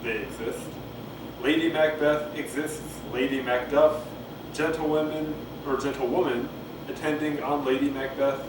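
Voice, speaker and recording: A man with a slightly high voice rambles through a casual cold read. He is hesitant and self-deprecating, muttering asides. The camcorder sound is echoey, with clear background noise. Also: steady tone, unhurried. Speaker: amateur play reader. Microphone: camcorder microphone at some distance. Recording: echoey, noisy camcorder audio from far off.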